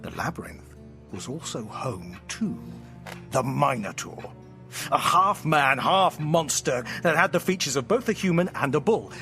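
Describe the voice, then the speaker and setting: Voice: mid-range voice, expressive, measured pace, over music. An actor in an audio drama playing a playful, theatrical storyteller who narrates with grand melodrama and teasing asides. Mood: theatrical, melodramatic. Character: playful, theatrical storyteller friend spinning a myth at home.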